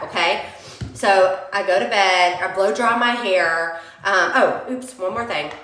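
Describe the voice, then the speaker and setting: Young gal w a cold, chatty hair tutorial, kinda echoey room. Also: female voice, medium pitch, pushed and bright. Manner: chatty, addresses viewers as y'all. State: has a cold, sniffling. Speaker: beauty vlogger. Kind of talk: casual hair-care tutorial. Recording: roomy echoey home recording.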